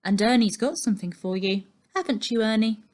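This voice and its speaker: A firm storybook aunt, acted by a woman reading aloud. She is bossy and brisk, with a commanding way of talking. There is kindness beneath her no-nonsense orders. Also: a little high, natural voice. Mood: coaxing.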